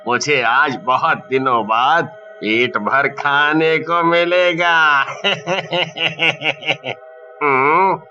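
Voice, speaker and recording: A man voicing a hungry, gloating man-eating ghost in a horror bedtime story, with drawn-out menace. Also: mid-range voice, pushed and bright, heavily acted. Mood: menacing glee. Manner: gloating, drawn-out menacing speech with evil laughter. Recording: muffled lo-fi audio with music.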